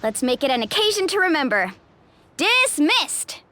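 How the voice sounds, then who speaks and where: High-voiced, commanding young female leader. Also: sing-song, moderate pace, bright, forceful tone, heavily acted. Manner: earnest, decisive. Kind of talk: anime game voice acting.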